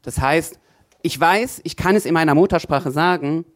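A man's voice: a little high, normal speed. A man who works as a language teacher, explaining his view thoughtfully in a panel discussion.

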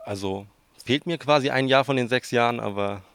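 Creaky young man with southern Hessian accent. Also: medium pitch, a little fast, fairly bright tone, no room sound. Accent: southern Hessian German. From southern Hesse, Germany. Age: 20s. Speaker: interviewee, student researcher. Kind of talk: informal interview answers.

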